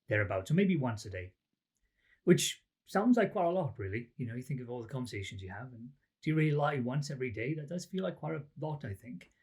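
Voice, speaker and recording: This is a middle-aged Welsh man speaking as a podcast guest. He explains things loosely, with plenty of ums, and likes to poke fun at himself. His voice sits at an ordinary pitch. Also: a little mellow, slightly rough. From Wales. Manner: chatty and self-deprecating, frequent ums. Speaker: academic guest, lie detection researcher. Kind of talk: relaxed interview answers.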